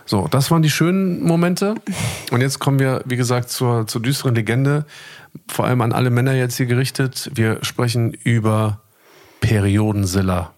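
A man's voice: mid-range voice, brisk, darkish tone. Slangy, mock-dramatic male podcaster. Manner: repeats phrases emphatically, mock-dramatic. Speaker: co-host of a couples' podcast. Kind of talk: casual, slangy banter.

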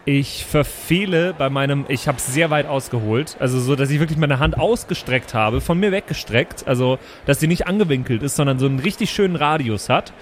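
A man's voice: mid-range voice, brisk, few pauses, slight background noise. A man chatting casually in an improvised role-playing game, colloquial and repeating words as he goes. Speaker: tabletop role-player.

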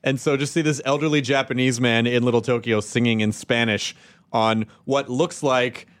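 Guy hosting a podcast, jokey storyteller, relaxed chat. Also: mid-range voice, moderate pace, no echo, studio quality. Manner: joking, storytelling. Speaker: podcast host. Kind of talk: casual chat.

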